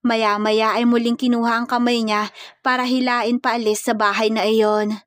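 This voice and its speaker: A woman's voice: on the high side, level tone, normal speed, fairly bright tone, no echo, studio quality. A woman storyteller reading scripted romance fiction in a dramatic narrative style.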